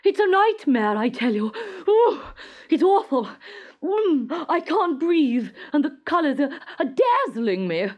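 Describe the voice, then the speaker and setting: A woman playing a blustering, excitable storybook king. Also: high voice, takes it slowly, muffled recording, voice pushed up, full character voice. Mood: panicked. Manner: blustering, complaining. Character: fussy, excitable storybook king, man, sock-obsessed.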